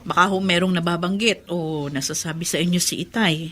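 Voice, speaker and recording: A woman's voice: lowish voice, fairly even intonation, fairly quick, fairly bright tone. A woman playing a troubled, respectful grown daughter who cares for her father, polite and deferential, in a radio drama. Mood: worried. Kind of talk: Tagalog radio drama acting.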